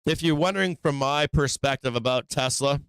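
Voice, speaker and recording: Guy going hoarse from being sick, casual stock stream host, mid pitch, dry close mic, keeps saying right?. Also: moderate pace, fairly bright tone, dry recording. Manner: thinks aloud, asks rhetorical "right?". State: losing his voice from illness. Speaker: stock market livestream host. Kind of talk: casual trading chart commentary.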